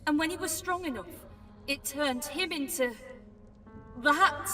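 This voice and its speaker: High-voiced, guilt-ridden woman. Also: unhurried, bright, forceful tone. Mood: bitter disbelief. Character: remorseful woman who killed under mind control. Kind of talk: video game voice acting. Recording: slightly distant, under clear music.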